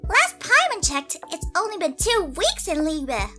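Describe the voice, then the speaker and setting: A woman voicing a small, cheeky, greedy fairy girl with a shrill, childlike voice in an audio roleplay. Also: wildly swooping pitch, unhurried, sharp tone, soft background music, exaggerated voice. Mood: whiny complaining. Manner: exuberant, speaks of herself in third person.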